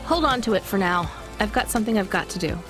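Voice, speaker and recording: Actress doing tired young delivery woman, game dialogue, guarded n dry, mid pitch, music under it. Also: animated, quick pace, lightly acted. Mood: matter-of-fact. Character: weary, sardonic young immigrant courier woman. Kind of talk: video game dialogue acting.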